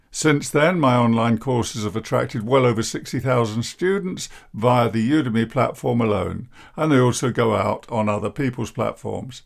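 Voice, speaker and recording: A man in his sixties, a therapist hosting his own podcast, talking in a deep, croaky, downbeat and self-deprecating way. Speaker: podcast host, therapist and coach. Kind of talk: reflective podcast monologue.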